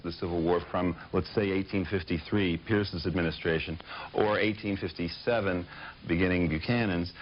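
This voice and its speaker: Author guest, male, very low voice, halting and thoughtful delivery, muffled broadcast sound with light hiss. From Pittsburgh, United States. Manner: thoughtful, hesitant with many fillers. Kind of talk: casual interview answers.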